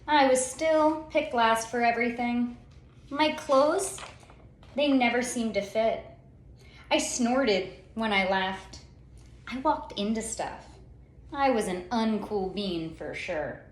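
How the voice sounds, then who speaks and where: A woman reading a children's story aloud in an expressive, theatrical way, with dramatic pauses and drawn-out words. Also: a little high. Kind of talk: expressive picture-book storytelling. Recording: roomy, echoey sound.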